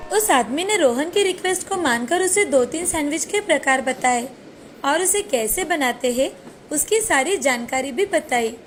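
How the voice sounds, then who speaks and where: A woman's voice: high voice, animated, normal speed, slight background noise. A woman storyteller reading a scripted moral tale as narrator. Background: faint background music.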